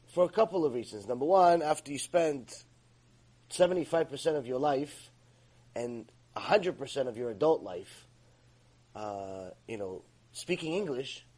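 A middle-aged man giving a Torah lecture, animated and often repeating words as he talks. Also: medium pitch. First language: Hebrew. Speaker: Torah teacher.

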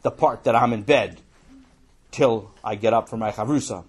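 A man voicing a scary father who has just been woken up, loud, gruff and commanding. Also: fairly low pitch, moderate pace. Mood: stern.

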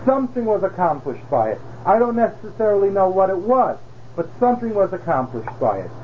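A man's voice: sits high, sing-song, a little fast, slight background noise. A man teaching a religious class, explaining patiently and checking in with rhetorical questions. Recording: dull lo-fi class recording.

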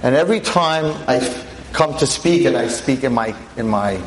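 Loud older teacher guy lecturing a crowd on a mic, emphatic, keeps asking questions. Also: mid-range voice, expressive, moderate pace, a little hiss or hum. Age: 60s. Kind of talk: live lecture to an audience. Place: talk in front of an audience. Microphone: handheld or stand mic.

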